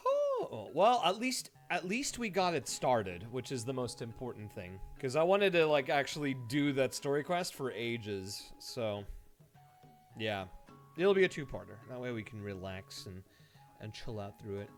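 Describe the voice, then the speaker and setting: A man's voice: medium pitch, wildly swooping pitch, measured pace. A man doing casual live game-stream commentary, rambling playfully with dry asides. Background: music.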